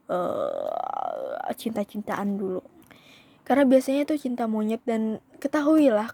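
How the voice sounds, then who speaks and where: A woman's voice: fairly high pitch, dark tone. A young woman vlogger telling a storytime in a casual, chatty way, often calling her viewers "guys" and slipping into English phrases.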